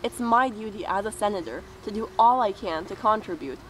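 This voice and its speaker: A woman's voice: mid-range voice, a little fast, fairly bright tone, slight background noise, natural voice, low-quality recording. A woman playing an earnest, unyielding male senator in an amateur puppet show, speaking in declamatory political phrases. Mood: self-righteous.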